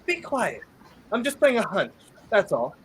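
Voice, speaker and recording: A young man playing a determined, quick-thinking hero on the run in a 1930s-style radio play. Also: high-pitched, wildly swooping pitch, fairly bright tone, a little hiss or hum, heavily acted, rough recording. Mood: hushed, firm. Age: young adult.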